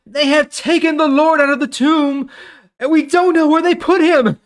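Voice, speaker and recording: A man's voice: extremely high pitch, fairly even intonation, normal speed, voice pushed up. A man voicing a distraught, urgent grieving woman in a dramatized scripture story, with emotional, emphatic delivery. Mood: alarmed, distraught.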